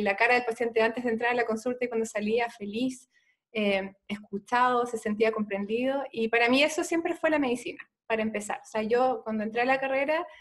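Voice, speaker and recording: A woman from Chile with a Chilean Spanish accent, a doctor guest telling her story in an earnest, conversational way with many "eh" fillers. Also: a little high.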